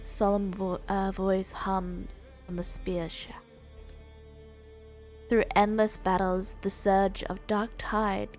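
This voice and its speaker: A woman streamer casually playing through a game live, reading its text aloud in a halting, measured way. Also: medium pitch. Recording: game music behind voice. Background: video game music.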